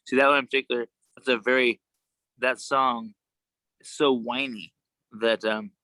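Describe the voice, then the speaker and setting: A man chatting casually on a video call, with a worn, sometimes gravelly voice and a halting, rambling way of talking. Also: mid-range voice, steady tone, pushed and bright, no room sound, rough recording. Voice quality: gravelly at times. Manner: rambling, halting, many restarts. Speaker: show co-host.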